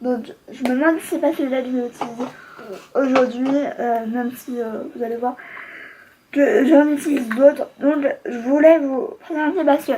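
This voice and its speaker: A woman with a high-pitched voice talks to her viewers as a beauty vlogger. Her delivery is casual and slangy, full of gushing enthusiasm. A faint layer of noise sits behind her voice. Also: moderate pace, mellow, low-quality recording. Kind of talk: casual makeup product chat to viewers.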